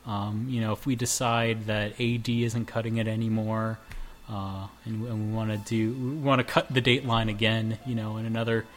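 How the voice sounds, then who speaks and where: A man's voice: fairly low pitch, little pitch movement, normal speed. Playful young man hosting a podcast. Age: 20s. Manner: joking, rambling, playful. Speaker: podcast host. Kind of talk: casual group chat.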